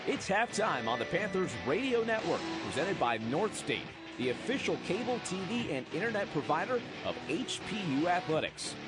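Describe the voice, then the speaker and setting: A man announces for a sports radio network in a high, very animated voice. The read is scripted, and loud guitar music runs underneath it. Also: moderate pace. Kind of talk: scripted sports broadcast bumper.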